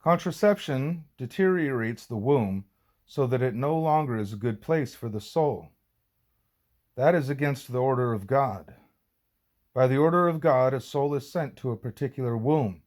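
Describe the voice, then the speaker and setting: A man reading a formal religious text aloud as audiobook narration, with deliberate, measured phrasing. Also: mid-range voice, expressive, a little mellow. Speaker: reader of a spiritual text.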